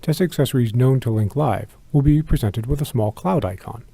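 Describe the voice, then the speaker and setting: A man narrating a scripted product tutorial in a measured, methodical, instructional way. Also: mid-range voice, gravelly, dark tone, slightly rough, dry recording. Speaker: product demonstrator.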